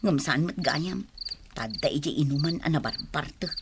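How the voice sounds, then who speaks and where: A woman's voice: low-pitched, moderate pace, creaky, heavy background noise. A woman with an Ilocano Filipino accent playing an anxious, earnest young woman in love in a radio drama. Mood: worried.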